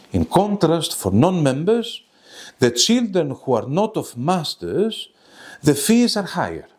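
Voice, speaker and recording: A man giving a scripted academic presentation in a formal, bookish way, reading out quotations as a lecturer would. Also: medium pitch.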